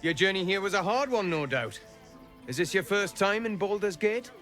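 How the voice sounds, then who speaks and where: A man's voice: sits high, animated, normal speed, sharp tone. A man voicing a friendly, chatty townsman with folksy, affable talk. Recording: game dialogue with music.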